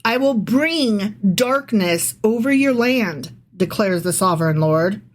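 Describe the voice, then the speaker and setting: A woman's voice: medium pitch, expressive, slow pace, a little hiss or hum. A woman co-hosting a casual podcast, reading aloud and commenting in an irreverent, sarcastic, sweary way. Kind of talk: casual chat with dramatic read-aloud and commentary.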